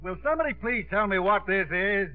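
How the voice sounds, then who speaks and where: A man's voice: high voice, animated, brisk, soft background music. A man acting a blustery, bewildered old father-in-law in a 1950s radio comedy. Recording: tinny 1950s radio broadcast.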